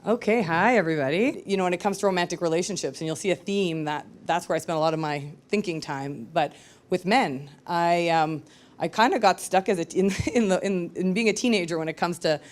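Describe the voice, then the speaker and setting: A woman's voice: lowish voice, brisk. A woman telling a personal story to an audience in a candid, conversational, self-reflective way. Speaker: speaker at a live storytelling show.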